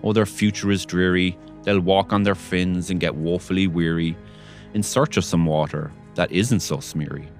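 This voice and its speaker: A man's voice: steady tone, normal speed, soft background music. A man voicing a small, indignant male forest creature from a storybook, raised and scolding. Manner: scolding, high-pitched and loud. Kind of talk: storybook reading.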